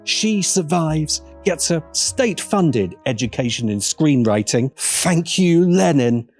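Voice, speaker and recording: A man's voice: a little high, a little mellow. A man narrating a scripted video essay with wry, dramatic emphasis. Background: background music.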